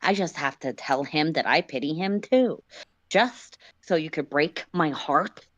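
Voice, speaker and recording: A woman voicing a bitter, wounded male alien who interrogates with sharp, accusing demands in a story reading. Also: low voice, lively intonation, measured pace, no room sound, muffled recording. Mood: bitter, demanding.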